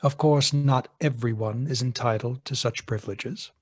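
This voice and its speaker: A man's voice: mid-range voice, moderate pace, a little mellow, husky, natural voice. A man playing a smug radio broadcaster under suspicion in an old-time mystery play. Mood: smug, explanatory. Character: smug male radio broadcaster suspected of murder. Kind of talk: golden-age radio mystery acting.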